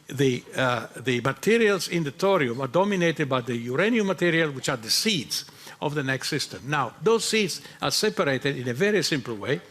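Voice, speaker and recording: A man on a conference panel giving a halting technical answer, repeating words and using non-native phrasing. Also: a little high, animated, a bit bright. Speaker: panelist, physicist. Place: conference hall.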